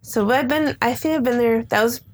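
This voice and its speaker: A woman's voice: on the high side, a little fast, slight background noise. A middle-aged American woman chatting casually and unscripted as the co-host of a podcast.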